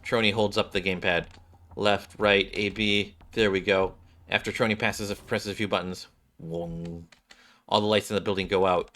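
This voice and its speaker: A man's voice: fairly low pitch, level tone, normal speed, fairly bright tone. A man streaming a game, reading its dialogue aloud and adding casual commentary.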